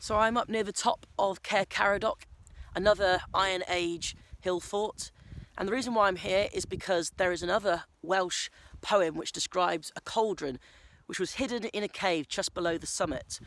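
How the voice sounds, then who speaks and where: High-pitched man telling wry travel tales outdoors. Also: a bit bright, a little hiss or hum. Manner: wry, playful. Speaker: storyteller and travel vlogger. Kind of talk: storytelling travel narration to camera. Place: outdoors on a cycling trip.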